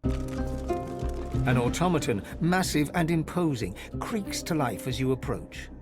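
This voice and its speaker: An actor narrating a fantasy video game in a measured, dramatic storytelling manner. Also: male voice, mid-range voice, animated, music in the background. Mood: solemn. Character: solemn game narrator describing scenes.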